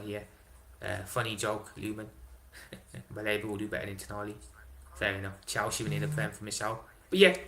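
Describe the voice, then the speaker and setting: A young man hosting a casual live stream, reading chat aloud and answering in an excitable way, swearing and dropping slang. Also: on the low side. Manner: excitable, swears, London slang like innit and big up. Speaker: livestream host reading chat.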